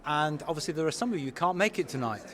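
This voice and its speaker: A man's voice: fairly high pitch, expressive, racing, a bit bright. A man hosting upbeat interviews on location at an event. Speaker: podcast host, interviewer. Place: backstage at theatre awards event. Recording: crowd noise behind. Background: chattering crowd.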